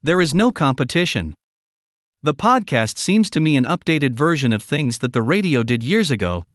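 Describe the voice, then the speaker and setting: Male interview guest, slightly high voice, very lively intonation, formal explanatory answers. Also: normal speed, dry recording, clean studio sound. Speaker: interview guest, media analytics company representative.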